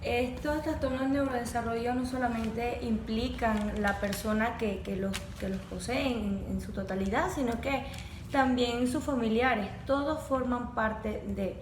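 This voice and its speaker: A woman's voice: on the high side, fairly even intonation, measured pace. A young woman, a course facilitator explaining neurodevelopmental conditions in a professional, clinical way. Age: young adult. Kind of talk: podcast conversation. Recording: echoey room with faint background noise.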